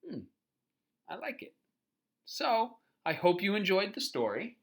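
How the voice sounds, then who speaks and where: A storybook reader giving an expressive children's read-aloud, pausing to explain and ask questions. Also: medium pitch, creaky.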